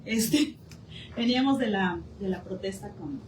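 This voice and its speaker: A woman's voice: mid-range voice, unhurried. Mexican woman, community discussion participant, unscripted sharing, roomy echo, faint noise. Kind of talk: spontaneous personal account in a discussion. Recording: echoey room, distant mic, faint noise.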